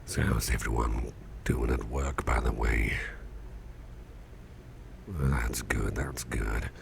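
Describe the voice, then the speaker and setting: An extremely low, heavily crackling voice of a sickly boss, acted by a man in an ASMR roleplay. He half-whispers slowly and tenderly, grateful and a little self-deprecating, with folksy warmth in his wording. There is clear noise behind him. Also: fairly even intonation, heavily acted. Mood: soft, caring. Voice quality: gravelly, breathy near-whisper. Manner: slow, hesitant, folksy and tender. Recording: noticeable background noise, soft ambience.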